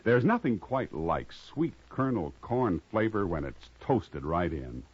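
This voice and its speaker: A male American announcer with a husky voice and very lively intonation. He reads in 1950s radio style on a muffled old recording. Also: deep voice, a little slow.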